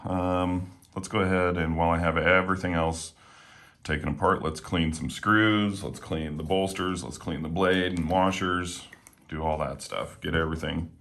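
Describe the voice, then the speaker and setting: A man casually talking while taking apart and cleaning a knife at home, a little hoarse today, relaxed and rambling with plenty of fillers. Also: deep voice, moderate pace. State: slightly hoarse. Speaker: knife reviewer. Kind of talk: casual talk while working. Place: home room with windows.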